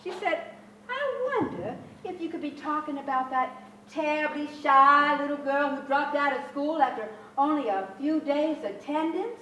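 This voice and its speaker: Anxious, high-voiced overbearing mother. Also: moderate pace, pushed and bright, distant mic, a little hiss or hum. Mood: wounded, accusing. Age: middle-aged. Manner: theatrical, fretful, emphatic. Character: anxious, overbearing, faded Southern mother, middle-aged, dramatic. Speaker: stage actress. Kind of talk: dramatic staged reading. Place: large theatre stage with audience, indoors. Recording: echoey theatre stage.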